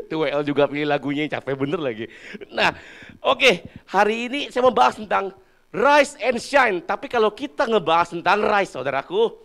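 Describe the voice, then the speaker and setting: A man in his thirties giving a short, casual sermon, hoarse after drumming, joking with the congregation and laughing often. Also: high-pitched, animated, normal speed, a bit bright. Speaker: worship speaker, church musician.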